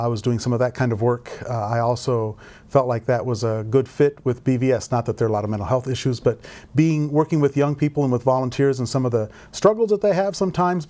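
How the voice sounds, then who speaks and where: A man's voice: mid-range voice, mellow. Middle-aged man, thoughtful and rambling. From grew up in Indonesia and Indiana. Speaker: volunteer program director. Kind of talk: conversational interview.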